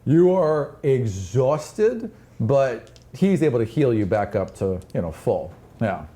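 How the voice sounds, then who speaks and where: A man's voice: mid-range voice, sing-song, slow pace, dark tone. A man narrating a tabletop fantasy game as game master, moving conversationally between rules talk and scene description.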